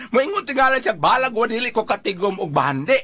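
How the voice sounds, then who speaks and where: Visayan filipino guy co-hosting an advice chat, high voice, really animated. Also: fast talker. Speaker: talk show co-host. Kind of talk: casual advice talk.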